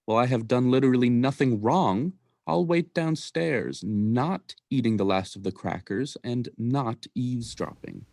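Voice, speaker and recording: A man's voice: medium pitch, unhurried, creaky, few pauses, a little mellow, no echo, clean studio sound. A man playing a slightly sleazy salesman uncle in a student radio play, casual and defensive. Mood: indignant, evasive. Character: lightly sleazy middle-aged stolen-watch salesman uncle.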